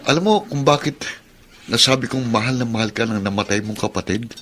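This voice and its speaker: A man's voice: mid-range voice, fairly quick, a bit bright, a little hiss or hum, close to an everyday voice. A man playing a teasing yet thoughtful older cousin in a Filipino radio drama.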